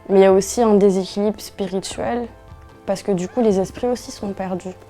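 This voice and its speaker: Young woman from french guiana, earnest interview talk, warm voice, flat steady delivery, soft music behind. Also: medium pitch. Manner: earnest, measured, with frequent restarts and hesitations. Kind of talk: interview testimony.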